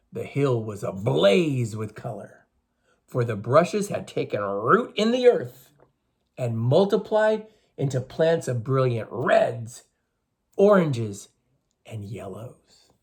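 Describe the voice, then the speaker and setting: A man reading a story aloud to schoolchildren in an expressive, teacherly way, with emphatic phrasing. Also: medium pitch, slow, slightly rough. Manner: teacherly, emphatic, addresses listeners with questions.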